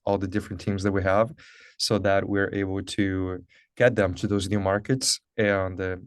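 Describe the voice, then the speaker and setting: A man's voice: sits low, little pitch movement, moderate pace, smooth, dry recording, clean studio sound. A man from Brazil in his thirties, a Portuguese native speaker, talking about his career as a guest on a video call, upbeat and earnest with lots of "like" and "uh". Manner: upbeat, earnest, many fillers like "like" and "uh". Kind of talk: conversational interview, webinar.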